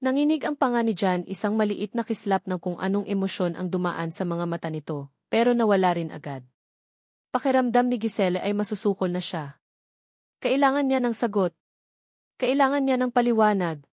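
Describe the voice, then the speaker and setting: Female audiobook narrator, medium pitch, scripted drama delivery, emphatic phrasing, very little room sound. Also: animated, fairly quick, darkish tone, dry recording, clean studio sound.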